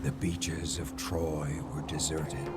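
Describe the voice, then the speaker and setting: An actor playing a solemn storyteller, narrating an ancient epic in a grave, portentous way for a video game cutscene. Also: male voice, extremely low pitch, flat delivery, takes it slowly, loud music behind the voice. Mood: ominous. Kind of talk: scripted dramatic game narration.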